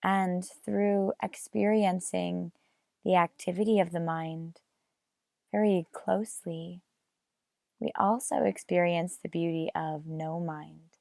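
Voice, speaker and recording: Female meditation guide, very unhurried with long pauses, plenty of vocal fry. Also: lowish voice, a little mellow, no room sound, studio quality. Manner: measured, with long pauses between phrases.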